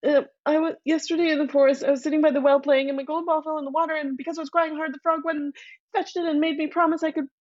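A woman voicing a scared, whiny young princess who rushes through a nervous confession. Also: high-pitched, little pitch movement, a little mellow, no room sound, lo-fi sound, voice pushed up, natural voice. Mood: anxious.

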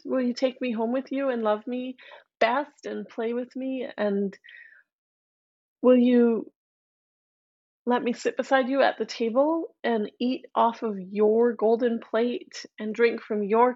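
A woman voicing a lonely male frog who gently coaxes and pleads for a friend. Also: fairly high pitch, dry recording, dull audio. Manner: gentle, coaxing.

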